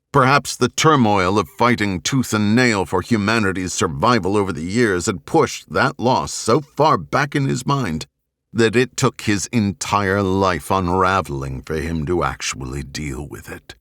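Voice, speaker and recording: A man narrating an audiobook novel in a grave, measured, dramatic way with deliberate pauses. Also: deep voice, a bit bright, no echo, clean studio sound. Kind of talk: dramatic scripted narration of a science fiction novel.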